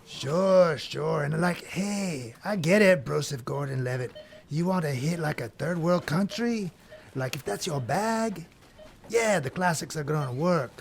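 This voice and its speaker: A cocky bro horseman of the apocalypse in an audio comedy. His voice sits fairly high with heavy fry, and he coaches in slick, slangy patter. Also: lively intonation, a little slow, a little hiss or hum. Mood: coaching, cocky. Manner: coaching, slangy bro talk with punning nicknames. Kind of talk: comedic audio drama dialogue.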